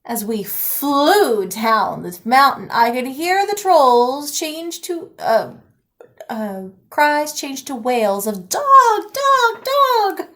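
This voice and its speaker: Slow-paced, higher-voiced woman storyteller. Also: big pitch swings, a bit bright. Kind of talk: picture book read-aloud, expressive storytelling.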